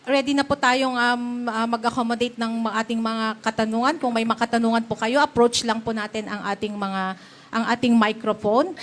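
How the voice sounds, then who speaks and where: Female moderator, Filipino accent, fairly high voice, courteous and orderly delivery for a formal press briefing. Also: fairly bright tone. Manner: polite, procedural.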